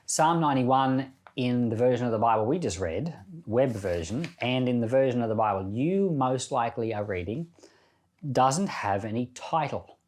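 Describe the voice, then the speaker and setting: A man's voice: mid-range voice, expressive, moderate pace, darkish tone. A man speaking as a pastor on a Bible podcast, reading scripture and offering reflective, informal devotional commentary.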